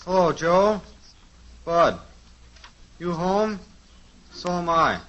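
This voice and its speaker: A man's voice: high voice, lively intonation, drawn-out words, heavy background noise, heavily acted. An actor playing an eager, scheming teenage son in a 1950s radio sitcom, earnest and breezy, fumbling his words. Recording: dull lo-fi old radio transcription.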